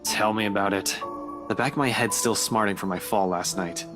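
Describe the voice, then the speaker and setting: A man's voice: fairly low pitch, normal speed, close to an everyday voice. An actor playing a guarded young man in a visual novel, a loyal friend who talks in a dry, casual way. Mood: dry, weary. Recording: music playing behind the voice.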